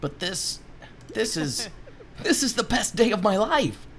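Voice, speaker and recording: A man voicing an exasperated, sarcastic adventurer in a game, in an excitable, theatrical manner. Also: high voice, slow pace, slight background noise, voice pushed up, exaggerated voice. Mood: incredulous. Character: exasperated, sarcastic male adventurer and new homeowner.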